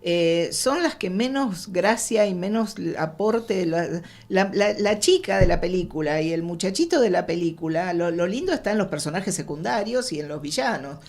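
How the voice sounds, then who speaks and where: Low-voiced Argentine woman chatting. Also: lively intonation, normal speed, hardly any pauses, darkish tone. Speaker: radio drama writer, interview guest. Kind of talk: casual panel conversation.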